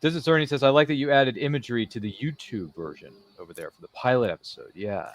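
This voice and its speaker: A man's voice: medium pitch, quick pace. A man hosting a casual live interview show, reading viewer comments and throwing in slangy, theatrical, joking asides. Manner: jokey, slangy, theatrical asides to people not present.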